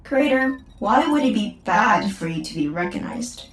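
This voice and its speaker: A woman's voice: mid-range voice, big pitch swings, normal speed, a little hiss or hum, lightly acted. A woman playing a literal-minded questioner. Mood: curious. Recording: echoey room.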